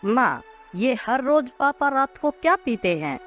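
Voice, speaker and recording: A woman's voice: high voice, sing-song, measured pace, fairly bright tone, soft background music, exaggerated voice. A woman voicing an innocent, curious five-year-old boy who asks childlike questions in a cartoon story. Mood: innocent curiosity. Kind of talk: dramatised cartoon story dialogue.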